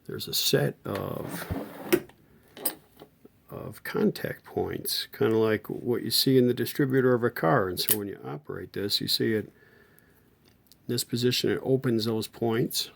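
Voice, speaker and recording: A man's voice: medium pitch, unhurried, gravelly, slight background noise. A middle-aged man giving a casual hands-on repair tutorial, talking in an informal, folksy way as he works. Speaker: host of a vintage radio repair channel.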